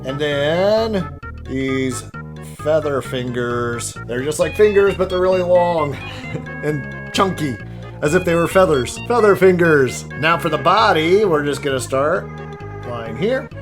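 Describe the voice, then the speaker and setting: A man's voice: fairly high pitch, expressive, takes it slowly. A man hosting a casual step-by-step drawing tutorial, talking in a chatty, jokey way as he thinks aloud. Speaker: drawing instructor and host. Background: background music.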